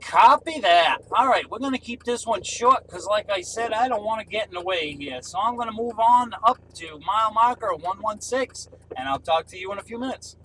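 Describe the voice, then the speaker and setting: Guy doing cb radio check-ins, high sharp voice, bit of car noise. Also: expressive, moderate pace, hardly any pauses, low-quality recording. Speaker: CB radio hobbyist running a range test. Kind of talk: casual radio calls.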